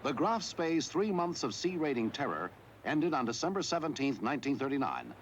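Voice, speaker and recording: A man reading scripted historical newsreel narration in a measured, formal delivery. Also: on the high side, very animated, highs cut off, background noise. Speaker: documentary narrator.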